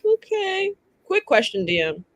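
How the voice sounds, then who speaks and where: A woman's voice: high voice, wildly swooping pitch, unhurried, dry recording. A woman playing a tabletop game, improvising casually with a flippant, informal way of talking. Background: soft background music.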